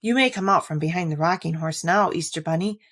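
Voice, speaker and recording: A woman reader takes the part of a boy rag doll from a storybook. He is curious and friendly, with a lively way of talking. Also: fairly low pitch, brisk.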